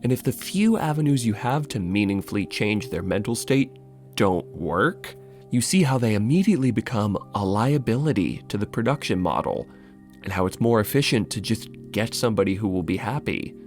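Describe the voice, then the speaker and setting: A man's voice: fairly low pitch, expressive, normal speed, darkish tone, soft background music. A man narrating a scripted video essay in a wry, informal way, slipping in casual asides. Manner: wry, informal, dry humour.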